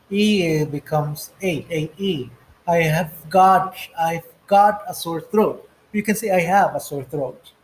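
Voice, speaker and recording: A man's voice: a little high, rough recording. A man teaching an English lesson, going through textbook exercises step by step and reading the example phrases aloud.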